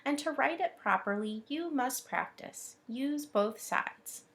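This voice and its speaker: A woman's voice: sits high, expressive, takes it slowly, a bit bright, pitched above the performer's own voice, full character voice. A woman reading the part of a kindly, precise first-grade schoolteacher, patient and explanatory. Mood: patient, instructive.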